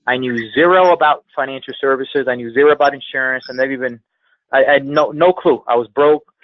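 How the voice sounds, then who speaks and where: A man's voice: medium pitch, steady tone, fast, dry recording. A man giving a motivational sales coaching talk on a conference call, speaking emphatically and repeating phrases for effect. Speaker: sales trainer, guest speaker. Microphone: telephone. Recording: tinny, band-limited phone conference line.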